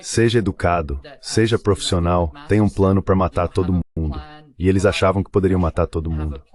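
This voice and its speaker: A man's voice: low voice, fairly even intonation, a little fast, darkish tone. A man giving political commentary as a panel guest, explaining things step by step.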